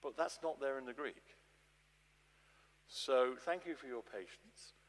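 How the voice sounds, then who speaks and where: A man's voice: medium pitch, a little slow. Man explaining his work in echoing church. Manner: explanatory, with repeated restarts. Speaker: translator introducing his gospel translation. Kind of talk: informal public talk. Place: large church, addressing an audience. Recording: long echoey church reverb.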